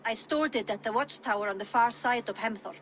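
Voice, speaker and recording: An actress playing a gentle, concerned female Viking ally in a game cutscene. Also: on the high side, brisk, close to an everyday voice.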